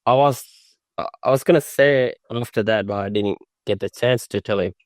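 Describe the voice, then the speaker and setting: A young man who is not a native English speaker, talking in a casual interview with halting, unpolished phrasing and frequent restarts. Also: mid-range voice, no echo. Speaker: new mowing franchisee.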